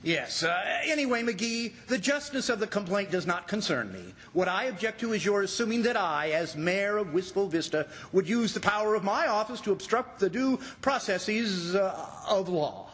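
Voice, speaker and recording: A man's voice: high-pitched, lively intonation, normal speed, few pauses, a bit bright. A man playing a pompous, long-winded, easily affronted mayor with formal, wordy diction in an old-time radio comedy. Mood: indignant, pompous.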